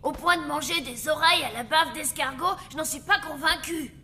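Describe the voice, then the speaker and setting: High, bright zombie kid. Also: female voice, fairly quick, long reverb tail, slight background noise. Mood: uneasy doubt. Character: doubtful young zombie child. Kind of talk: French-dubbed children's cartoon acting.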